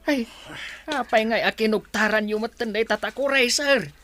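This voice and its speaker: A woman's voice: a little high, normal speed, fairly bright tone, natural voice. A woman playing a flustered, gossipy servant in an Ilocano comedy radio drama, exclamatory and whining. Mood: flustered, complaining.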